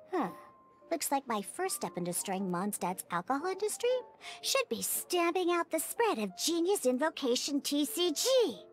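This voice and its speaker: A woman's voice: high-pitched, sing-song, measured pace. A woman voicing a grumpy little cat-girl bartender in a video game, pouty, huffy and exasperated. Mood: grumpy, scheming. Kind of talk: video game cartoon-like acting. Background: game music.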